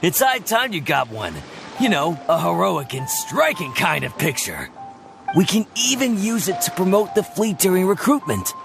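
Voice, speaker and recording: A man's voice: high-pitched, wildly swooping pitch, normal speed, vocal fry, heavily acted. An actor voicing a dutiful, earnest male first mate who speaks respectfully to his captain, with game music behind.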